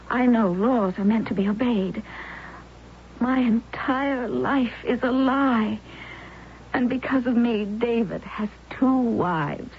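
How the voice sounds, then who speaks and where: A slow-spoken, remorseful woman in radio drama. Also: on the high side. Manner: confessional, halting delivery in short dramatic phrases. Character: remorseful woman in self-imposed exile, secretly alive. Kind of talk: old-time radio drama acting. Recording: muffled old radio recording, faint hiss.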